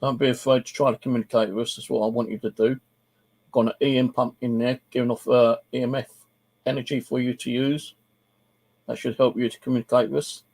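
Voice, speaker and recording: A man's voice: mid-range voice, flat delivery, fairly quick, a little mellow. A man hosting a paranormal investigation, speaking informally as he comments and calls out questions. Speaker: ghost-hunting channel host. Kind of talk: casual spoken commentary, calling out to spirits.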